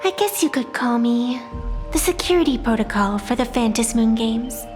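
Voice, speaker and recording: An ancient sacred tree spirit that speaks with sly, amused mockery, voiced by a woman. The voice sits a little high, carried in a long reverberant space. Soft game music plays underneath. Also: lively intonation, moderate pace, lightly acted. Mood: proud. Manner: teasing, amused, mocking. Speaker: game character. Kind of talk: video game voice acting.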